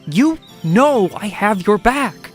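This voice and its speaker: A woman's voice: medium pitch, lively intonation, slow, soft background music. A woman playing a testy, bossy sibling in an audio drama, with an indignant theatrical manner. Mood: exasperated. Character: testy, bossy young sibling on a folk-tale quest.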